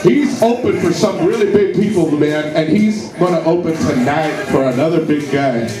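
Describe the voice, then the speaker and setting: A man introduces a comedian in a casual, joking hype-announcer style. His voice is high-pitched and distant. The echoey restaurant recording has loud music playing underneath. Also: moderate pace, a crowd in the background. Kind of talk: stage introduction. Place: Mexican restaurant, indoors.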